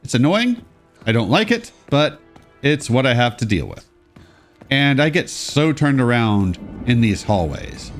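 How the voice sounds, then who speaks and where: A congested young man talks through a game with casual, sarcastic asides. His pitch moves around a lot as he comments. Faint music plays behind him. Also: very animated, measured pace. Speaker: let's play host. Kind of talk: gameplay commentary.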